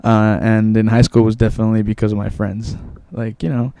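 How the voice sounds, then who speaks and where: A man's voice: on the low side, flat delivery, fairly quick, dark tone, smooth, dry recording, studio quality. A man in his twenties, born in Mexico with Spanish as his first language, chatting casually on a podcast and often repeating words as he recalls. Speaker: guest.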